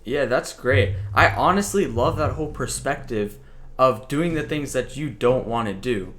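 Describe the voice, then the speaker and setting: Affirming young interview host, mid-pitched. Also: male voice, expressive, normal speed, a bit bright. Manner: affirming, asks open questions. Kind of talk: casual interview chat.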